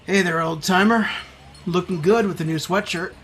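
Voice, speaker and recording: A man playing a kindly, modest store Santa who talks in a casual, gentle way. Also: high-pitched, moderate pace, a little hiss or hum. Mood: friendly, modest.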